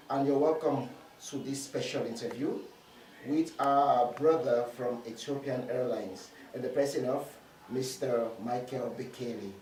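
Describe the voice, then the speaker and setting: A man's voice: mid-range voice, darkish tone. A man hosting an interview, greeting viewers and asking questions in a formal, courteous way with frequent fillers. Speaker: interviewer host. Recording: distant roomy mic with faint noise.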